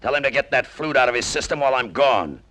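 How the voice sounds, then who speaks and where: A man's voice: medium pitch, expressive, fast talker, no echo, lightly acted. An American man playing a hard, hot-tempered, cynical cargo pilot in old-time radio drama, with a tough, clipped delivery. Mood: hostile. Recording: vintage radio drama with loud music.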